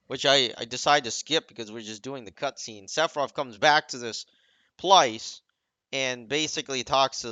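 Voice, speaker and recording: A man's voice: medium pitch, sharp tone, dry recording, dull audio. A man giving casual, off-the-cuff commentary on game cutscenes, explaining conversationally with rambling asides.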